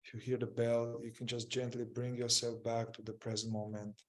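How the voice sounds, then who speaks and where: A man leading a guided mindfulness meditation as a teacher, gently and without hurry, leaving long pauses between short phrases. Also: medium pitch, completely flat delivery.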